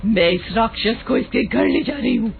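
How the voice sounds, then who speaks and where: An actor voicing the vengeful, menacing ghost of a wronged mother in a horror story, speaking in slow threats. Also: mid-range voice, gravelly, natural voice. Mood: bitter. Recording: tinny phone-like sound with music behind.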